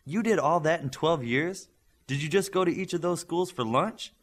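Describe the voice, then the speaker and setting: A man voicing a curt, bossy young superhero team leader in audiobook dialogue, brisk and challenging. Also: fairly high pitch, voice pushed up, natural voice. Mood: teasing, sceptical.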